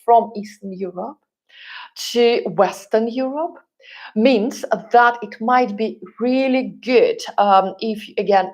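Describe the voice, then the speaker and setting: A woman's voice: mid-range voice, a little mellow. A woman whose first language is Russian, hosting a live stream and answering viewers' questions in a chatty way full of fillers and restarts. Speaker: relocation consultant and host.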